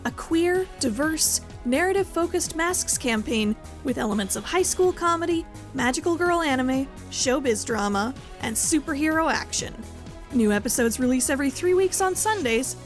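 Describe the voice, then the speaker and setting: A woman with a high voice narrates a scripted podcast trailer. Faint music runs underneath her. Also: animated, normal speed. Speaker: promo narrator.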